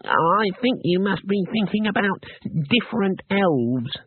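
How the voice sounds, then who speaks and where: A man voicing a small, cautious, knowing gnome who talks slowly and carefully. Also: high voice, sing-song, husky, no echo, narrow, tinny audio, voice pushed up, exaggerated voice. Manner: slow, careful.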